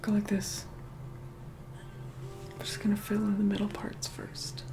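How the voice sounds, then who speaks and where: A woman playing a reluctant, haughty vampire in a whispered ASMR roleplay, hushed, bossy and begrudging. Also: medium pitch, completely flat delivery, measured pace, close to an everyday voice.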